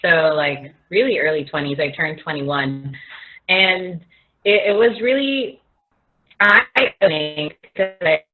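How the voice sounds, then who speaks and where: A woman's voice: sits low, takes it slowly. A woman from the Philippines talking casually and reflectively as a guest in a video call conversation. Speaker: guest, chef. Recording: thin phone-like video call audio.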